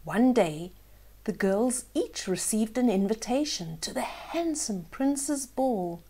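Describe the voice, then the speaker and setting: Slow, mellow woman storyteller. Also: fairly high pitch, animated, husky. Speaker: children's storyteller. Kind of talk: storybook read-aloud with asides to young listeners.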